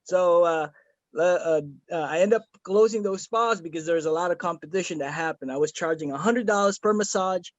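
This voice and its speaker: A man's voice: high-pitched, fairly quick, no echo, dull audio. A man from the Philippines giving an earnest, rambling testimonial over a video call, with many fillers and restarts. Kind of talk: casual video-call chat.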